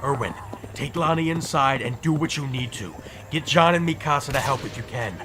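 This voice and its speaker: A man's voice: medium pitch, fairly quick, background noise, lightly acted. A man playing a curt, sardonic fighter in a zombie apocalypse fan audio drama, terse and dry. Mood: sardonic, gruff.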